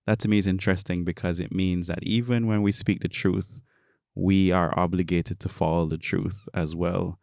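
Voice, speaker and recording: Male Bible study commentator, low creaky voice, earnest and explanatory, dry sound. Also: steady tone, normal speed, darkish tone, no echo. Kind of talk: informal scripture commentary.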